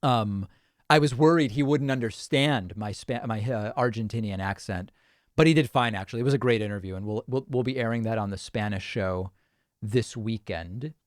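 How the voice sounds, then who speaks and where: A man's voice: lowish voice, moderate pace, no echo. A man hosting a casual livestream, chatting and thinking aloud as he reads out viewer comments on a close microphone. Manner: chatty, thinking aloud with restarts and small laughs. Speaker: host.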